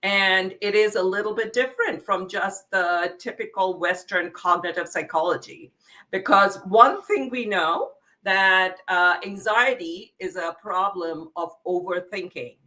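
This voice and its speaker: A woman's voice: medium pitch, a little slow, pushed and bright. A middle-aged woman from India with an Indian accent, a psychotherapist explaining her work earnestly as a guest. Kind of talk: interview conversation.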